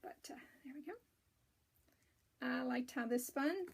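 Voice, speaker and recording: A woman with a raspy voice hosting a casual knitting and spinning show-and-tell, rambling with many ums and restarts. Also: sits high, unhurried, a little hiss or hum.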